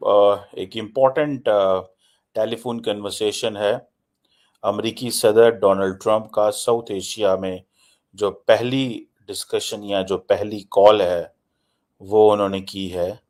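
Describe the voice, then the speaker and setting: A man's voice: on the low side, fairly even intonation, slow, darkish tone. A man giving conversational news commentary, mixing in English phrases and repeating himself for emphasis. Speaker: political commentator.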